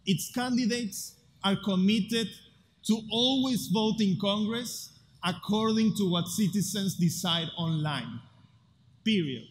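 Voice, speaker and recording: A man with an Argentine Spanish accent giving an earnest, deliberate conference talk with rhetorical pauses. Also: high-pitched, a little hiss or hum. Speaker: speaker at a future festival. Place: large hall at a festival. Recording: live hall with long reverb, faint ambience.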